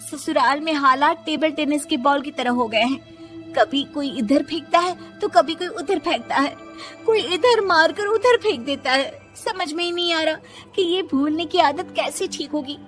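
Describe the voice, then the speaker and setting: A woman's voice: high-pitched, moderate pace, fairly bright tone, faint music behind, voice pushed up. A woman voicing a forgetful, naive young daughter-in-law in a whiny, chatty, pleading manner for a comic story.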